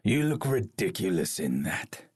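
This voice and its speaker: An actor playing an arrogant, embittered warrior king and stern father in an animated game cutscene, husky and commanding. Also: deep voice, dry recording, clean studio sound, exaggerated voice. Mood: cold, commanding. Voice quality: gravelly, husky. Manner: slow, scornful, commanding.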